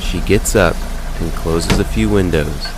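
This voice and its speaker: A man reading scripted ghost stories as a narrator, in a measured, deliberate way with pauses. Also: deep voice, noisy background.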